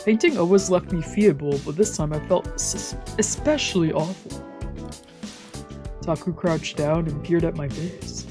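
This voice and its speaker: A woman's voice: on the low side, measured pace, over music. A woman streamer reading a visual novel aloud as dramatic narration. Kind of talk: dramatic narration of a let's play.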